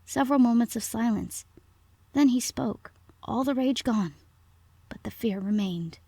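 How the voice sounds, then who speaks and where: Woman, scary story narrator, scripted first-person delivery, higher pitch, gravelly fry. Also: a little slow, dark tone, no echo.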